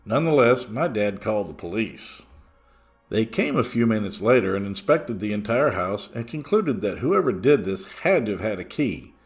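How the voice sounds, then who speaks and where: Man narrating with lively, varied pitch. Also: normal speed, soft background music. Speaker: narrator reading a listener's true ghost story. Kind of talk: scripted first-person storytelling narration.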